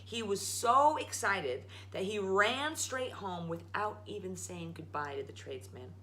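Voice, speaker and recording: A woman's voice: mid-range voice, animated, measured pace, pushed and bright. A woman reading a children's story aloud as a storytime host. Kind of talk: animated children's read-aloud.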